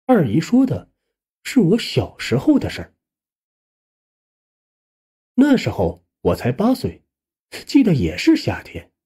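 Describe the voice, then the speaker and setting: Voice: medium pitch, huge pitch swings, slow pace, pauses often, dark tone, husky. A narrator reading a suspense ghost story aloud as scripted, dramatic audiobook narration.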